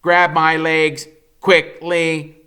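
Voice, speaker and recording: A composed, protective robot mother from a storybook, voiced by a man. The words come slowly and deliberately, raised a little in pitch, with polite firmness. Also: flat delivery, pushed and bright, clear, resonant tone, heavily acted. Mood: urgent.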